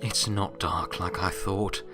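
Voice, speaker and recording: A man playing a weary, wry, remorseful pony who serves as Death, speaking in a reflective, halting, confiding monologue in an audio drama. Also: low-pitched, fairly even intonation, music in the background. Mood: earnest, hopeful.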